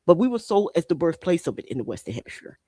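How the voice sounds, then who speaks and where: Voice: medium pitch, sing-song, fast talker, dark tone, slightly rough, no echo, clean studio sound. Impassioned podcast host from Haiti. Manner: emphatic, rhetorical questions, repeats phrases for effect.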